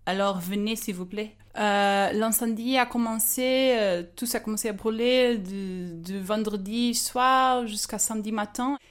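A woman's voice: medium pitch, a little slow, hardly any pauses. A woman in her twenties from Portugal, speaking French with a Portuguese accent as a non-native, telling a personal story hesitantly with many fillers and restarts. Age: 20s. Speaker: guest storyteller. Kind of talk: casual personal testimony.

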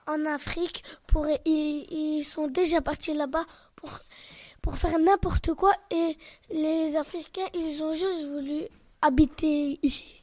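High-pitched young girl, casual debate. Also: darkish tone. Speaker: youth radio workshop participant.

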